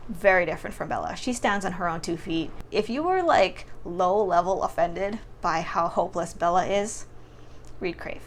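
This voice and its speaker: A woman giving a casual, expressive book review to camera in an informal, emphatic storytelling way. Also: mid-range voice, a little hiss or hum.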